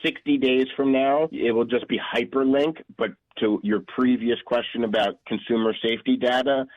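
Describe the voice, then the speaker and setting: A man's voice: medium pitch, steady tone, moderate pace, dry recording. Earnest middle-aged man, dull radio sound. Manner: rambling, earnest, self-correcting. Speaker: company founder, guest. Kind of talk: radio interview answers. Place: radio studio.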